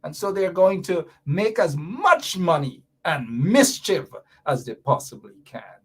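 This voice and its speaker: A man's voice: high voice, sing-song, measured pace, a bit bright, husky. A Guyanese man hosting a radio talk show, delivering fiery, sarcastic political commentary with mocking repetition.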